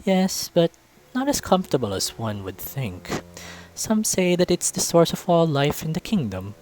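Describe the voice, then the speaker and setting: A man plays a regal beast king from a fantasy visual novel. The voice is lifted high, courteous and wry, with measured, formal phrasing that carries royal poise. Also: sing-song, a little mellow, poor audio. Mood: proud, musing.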